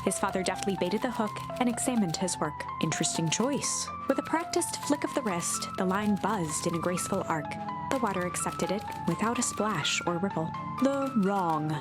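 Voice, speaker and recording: A woman reading scripted, storybook-style narration for a video game playthrough, with expressive phrasing. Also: medium pitch, a little fast. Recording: prominent music under the voice.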